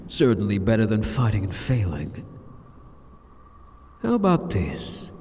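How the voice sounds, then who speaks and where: Husky, taunting demon king played by a man. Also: medium pitch, darkish tone. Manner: taunting, condescending, theatrical, drawn-out phrases. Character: sadistic, amused, arrogant male demon king villain. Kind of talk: scripted ASMR roleplay monologue addressed to the listener. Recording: long cavernous hall reverb.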